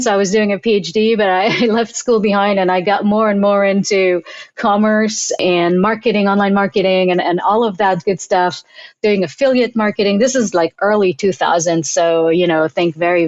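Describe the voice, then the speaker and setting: A woman's voice: lowish voice, a little mellow, no echo, muffled recording. A middle-aged woman from Israel, a guest in a casual interview, telling her story thoughtfully with frequent restarts. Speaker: guest, payments product executive.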